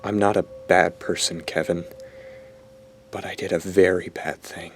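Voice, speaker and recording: A man's voice: deep voice, flat delivery, a little slow. An actor playing a smug, self-absorbed teenage boy in an audio drama, narrating his confession in a pompous, dramatic way. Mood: guilty, confessing. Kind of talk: audio drama acting, first-person narration.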